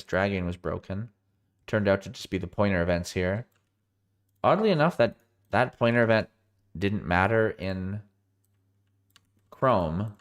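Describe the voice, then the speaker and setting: A man with a cold and a somewhat low voice talks through code on a live stream. He sounds tired, thinks aloud as he goes, and often catches and corrects himself mid-sentence. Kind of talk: live coding walkthrough.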